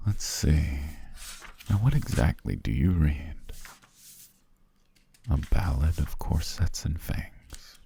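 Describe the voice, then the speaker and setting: A man playing a courteous, self-amused demon king in an ASMR roleplay, speaking in a genteel, formal way with a husky, breathy voice. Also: lively intonation, slow, long pauses, a little hiss or hum, full character voice. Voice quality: very deep, husky, gravelly, often breathy near whisper. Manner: leisurely, genteel, archly formal with soft chuckles.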